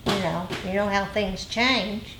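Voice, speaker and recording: Female group teacher, very slow conversational delivery with repeated phrases, in a reverberant room. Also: medium pitch. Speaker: dharma teacher leading group discussion. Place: meditation group meeting room. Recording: echoey room, faint noise.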